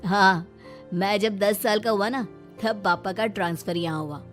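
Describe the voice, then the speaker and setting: A woman's voice: medium pitch, a little slow, close to an everyday voice. A woman voicing an earnest young married son in a cartoon moral story, explaining things in a straightforward way. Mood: explanatory. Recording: music behind the voice.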